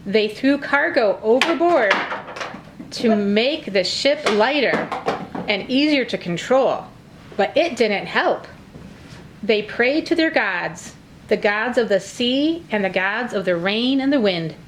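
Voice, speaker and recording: High-voiced woman telling a children's story. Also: expressive, takes it slowly, a little hiss or hum. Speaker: narrator of a children's church puppet show.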